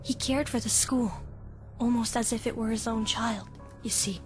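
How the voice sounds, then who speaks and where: High-voiced actress as a theatrical teenage schoolgirl, music behind. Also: fairly even intonation, lightly acted. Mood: eerie, ominous. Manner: hushed, drawn-out, ominous storytelling. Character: teenage schoolgirl telling an eerie ghost story, easily frightened. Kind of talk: dramatic ghost-story narration in an anime fandub.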